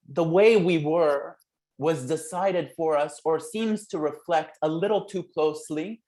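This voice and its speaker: A young man with a fairly high voice talks as a guest author in a fireside-style conversation. He thinks aloud, pausing on fillers and restarting his sentences as he works through his ideas. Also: darkish tone. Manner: halting, reflective, many fillers and restarts. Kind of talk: conversational talk.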